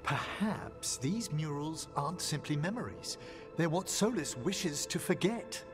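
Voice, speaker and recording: A man's voice: on the high side, animated, unhurried. A man playing a scholarly, analytical mage in a fantasy game, articulate and formal in his speech. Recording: long reverb, music behind. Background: music.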